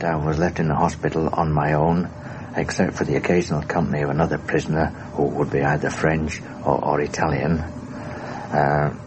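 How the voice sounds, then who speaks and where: A man giving a hesitant, formally worded first-person account of being a prisoner of war, for a documentary. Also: very deep voice. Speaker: former airman and prisoner of war recounting his experience. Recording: noisy 1960s radio documentary recording.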